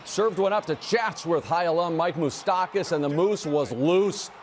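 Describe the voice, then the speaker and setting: A man delivers sportscast highlights with a brisk, punchy energy. His voice sits a little on the high side. A faint hiss runs under the recording. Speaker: sports anchor. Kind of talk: local TV sportscast.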